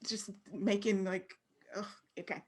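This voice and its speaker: A woman's voice: mid-range voice, steady tone, unhurried, darkish tone, slightly rough. A woman from Texas with an American accent, talking candidly and informally as an interview guest. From Fort Worth, Texas, United States. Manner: candid, informal, frequently laughing. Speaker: interview guest, creative professional. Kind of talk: casual interview conversation.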